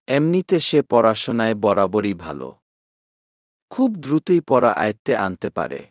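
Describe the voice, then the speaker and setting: A man narrating a romantic story from a script in a measured, expressive reading style. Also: on the low side, no room sound.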